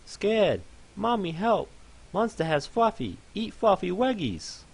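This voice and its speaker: A tiny whimpering female pet creature voiced by a man babbles in broken baby talk, her voice squeaking far above a normal speaking pitch. She swoops wildly up and down, high and pleading, like a small child in a storybook gone dark. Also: sing-song, slow, creaky, slightly rough, heavily acted. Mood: terrified.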